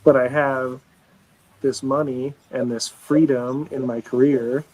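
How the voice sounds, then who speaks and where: A man's voice: medium pitch, little pitch movement, takes it slowly, darkish tone, slight background noise, poor audio. A man in his forties talking on a live show in a rambling, earnest way, often restarting sentences and swearing casually. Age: 40s. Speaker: livestream show regular.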